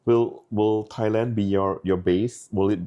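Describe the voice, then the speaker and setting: A man hosting an interview, asking questions in a hesitant way with many pauses. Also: fairly low pitch, steady tone, warm tone, highs cut off. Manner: hesitant, with fillers and restarts. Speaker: interview host. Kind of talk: casual interview chat.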